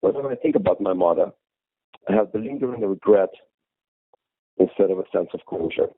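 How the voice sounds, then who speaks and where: A middle-aged man from Ukraine giving measured, formal interview answers over a muffled phone call. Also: low voice. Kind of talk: considered, prepared interview answers.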